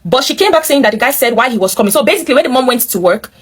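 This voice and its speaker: A woman's voice: high-pitched, expressive, racing, husky. A woman with a Nigerian accent telling a story as host, emphatic and opinionated, breaking in with commentary addressed straight to listeners. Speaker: host reading and reacting to a viewer's story. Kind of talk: animated storytelling with running commentary.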